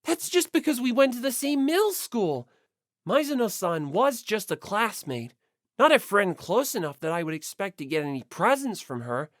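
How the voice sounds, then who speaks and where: A woman's voice: medium pitch, very animated, normal speed, no echo, clean studio sound, natural voice. Woman voicing an earnest, easily flustered schoolboy. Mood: flustered denial. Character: earnest, modest, kindly teenage schoolboy.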